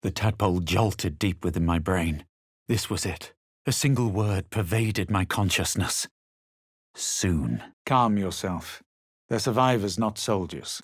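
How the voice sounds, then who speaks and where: A man voicing a self-assured, sardonic male adventurer in a fantasy video game, with a measured, superior delivery. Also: on the low side, slightly rough, no room sound, studio quality, exaggerated voice. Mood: dry, superior. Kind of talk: video game voice lines, narration and spell shouts.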